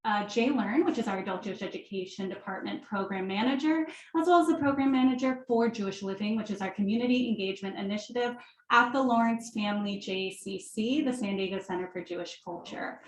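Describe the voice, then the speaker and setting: A woman program manager introducing a guest lecturer at a community center event, thanking the audience warmly. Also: medium pitch, roomy sound, poor audio. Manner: warm, welcoming, thankful.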